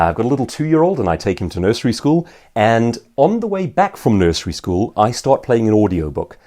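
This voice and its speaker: A man's voice: lowish voice, dark tone. A man giving an informal instructional talk as an author and speaker, articulate and emphatic in his phrasing. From South Africa. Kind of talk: informal instructional talk answering viewer questions.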